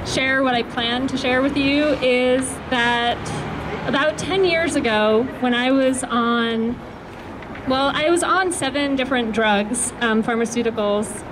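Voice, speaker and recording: A woman with a soft voice giving an earnest speech at an outdoor rally, holding the microphone close, with fillers and restarts. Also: high-pitched. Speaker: activist speaker at a rally. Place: outdoors on a city street. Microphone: handheld microphone held close. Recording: noisy outdoor street recording. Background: traffic and street noise.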